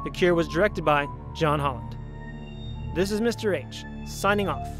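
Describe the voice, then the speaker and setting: A male host reads scripted credits for an audio drama. His voice sits a bit high for a man, with music clearly audible behind it. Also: moderate pace. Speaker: host and announcer.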